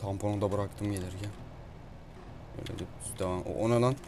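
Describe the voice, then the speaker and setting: A man's voice: on the low side, steady tone, brisk. A man improvising comic game commentary as a roleplay video host, swearing freely. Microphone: gaming mic at a computer. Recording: constant noise with keyboard and mouse clicks.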